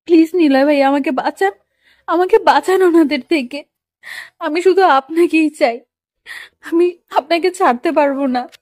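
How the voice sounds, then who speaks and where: A woman's voice: sits high, animated, moderate pace, pauses often, darkish tone, no room sound, clean studio sound. A woman playing a shy, anxious young woman in love, telling a romantic audio story in the first person. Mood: pleading, desperate.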